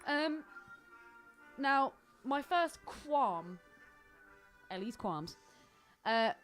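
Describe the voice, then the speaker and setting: A woman's voice: sits high, lively intonation, very slow, a bit bright. A woman co-hosting a film podcast, chatting casually in a wry, teasing manner. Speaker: podcast co-host. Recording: film score playing underneath. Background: film soundtrack music.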